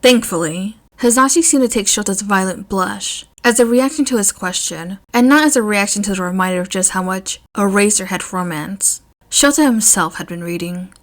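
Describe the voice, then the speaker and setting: A woman's voice: medium pitch, moderate pace, few pauses. A woman narrating a scripted story expressively, as the reader of a piece of fiction.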